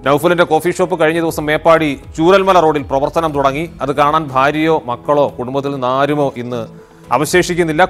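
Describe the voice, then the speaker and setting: A man's voice: on the high side, fairly bright tone. A man in his thirties presenting a morning news show from a TV studio, earnest as he introduces a story and reads out viewers' greetings. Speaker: news anchor. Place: TV studio with backdrop.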